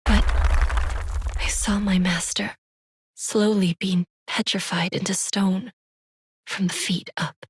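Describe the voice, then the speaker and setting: Woman playing an earnest, slow-spoken young pupil. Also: mid-range voice, fairly even intonation, a little hiss or hum, natural voice. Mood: sorrowful. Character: earnest, devoted young female pupil, wistful. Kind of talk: dramatic first-person game narration. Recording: loud music behind.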